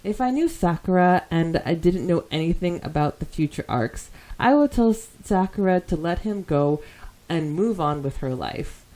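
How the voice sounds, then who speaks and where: A woman hosting a podcast, chatting casually and reading listener comments aloud in an animated way. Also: fairly low pitch, moderate pace, low-quality recording. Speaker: podcast host.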